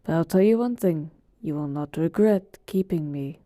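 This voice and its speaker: A woman's voice: sits low, normal speed, dark tone, dry recording, clean studio sound, natural voice. A woman voicing an ancient, all-powerful genie who talks casually and teasingly to a master in a roleplay monologue. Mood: wry, assured. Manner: casual, teasing, conversational asides. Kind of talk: in-character roleplay monologue to the listener.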